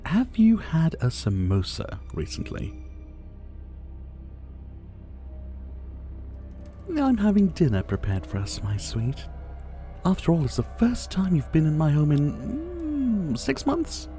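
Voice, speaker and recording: A man's voice: on the high side, huge pitch swings, unhurried, mellow, faint music behind. A man playing a possessive, flirtatious and condescending rich dictator in an intimate ASMR roleplay, doting on the listener with pet names. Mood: teasing menace. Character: possessive, teasing male yandere dictator, rich and menacing.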